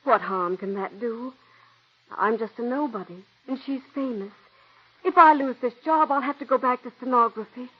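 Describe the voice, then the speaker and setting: An earnest young stage-struck secretary who pleads and begs. Her voice is high and eager. The sound comes from a dull, muffled old radio play. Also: normal speed, breathy edge. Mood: pleading. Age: young adult. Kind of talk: 1940s radio acting.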